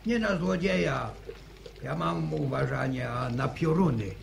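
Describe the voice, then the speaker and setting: An actor playing a pious, superstitious old village grandfather in a radio play, speaking slowly. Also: on the high side, creaky. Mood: grumbling. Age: older. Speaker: radio drama character. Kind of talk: 1950s radio play acting. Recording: noisy old radio recording.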